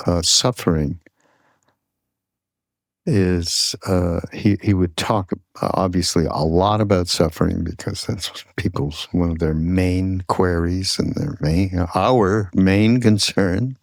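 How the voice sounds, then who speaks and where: Older male podcast host, deep and unhurried, halting reflective storyteller, close dry sound. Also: vocal fry, no room sound. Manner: halting, searching for words.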